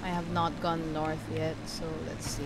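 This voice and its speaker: A woman's voice: low voice, monotone, normal speed, very noisy. A woman giving casual gameplay commentary, thinking aloud and exclaiming as she plays. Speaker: let's play host. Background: game music.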